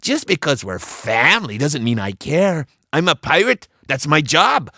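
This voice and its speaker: Bossy big-sister pirate queen done by a male reader, high-pitched, taunting, commanding storybook villainess. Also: big pitch swings, measured pace, pushed and bright, dry recording, clean studio sound, heavily acted. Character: bossy gleeful female pirate captain, Dirty Joe's older sister.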